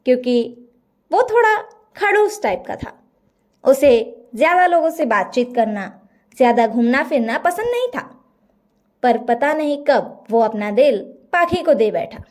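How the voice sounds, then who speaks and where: A woman narrates a bedtime story in a high voice. Her pitch moves up and down in a lively, animated way as she reads the scripted tale.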